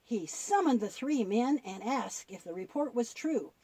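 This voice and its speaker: A woman presenting a children's Bible show, telling stories in an expressive, instructive way as dialogue with a puppet. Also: a little high, a little slow, slightly rough, dry recording.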